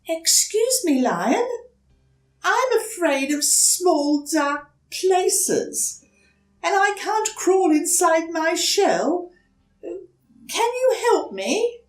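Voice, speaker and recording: A woman's voice: very high voice, very animated, extremely slow, voice pushed up, full character voice. A woman voicing a timid, polite young turtle boy in a children's storybook, with a hesitant, pleading manner. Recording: roomy echo, distant mic.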